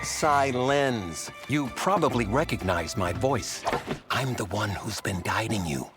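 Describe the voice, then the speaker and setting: A man's voice: lowish voice, animated, slow, loud music behind the voice. An actor playing an eccentric, self-important male scholar and DJ in a game, with a wordy, grandiose showman's delivery. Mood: smug, breezy. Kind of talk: animated game voice acting.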